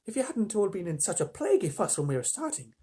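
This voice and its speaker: A man's voice: sits high, sing-song, quick pace, warm tone, husky, pitched above the performer's own voice, exaggerated voice. A man voicing a fussy female beaver who grumbles at everyone, in a storybook reading.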